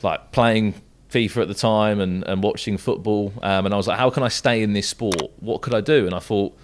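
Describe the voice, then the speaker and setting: A man's voice: fairly low pitch, a little fast. A young man from the south coast of England with a southern English accent, an esports commentator talking casually as a podcast guest. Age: young adult.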